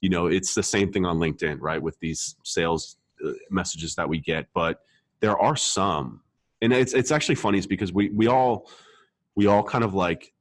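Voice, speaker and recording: A deep-voiced man in a dry, close-sounding recording hosts a casual marketing conversation. He speaks emphatically, repeats himself to drive points home and often checks that the listener follows. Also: moderate pace, dry recording. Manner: emphatic, repetitive, casual swearing, frequent check-in tags. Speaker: podcast host, marketer.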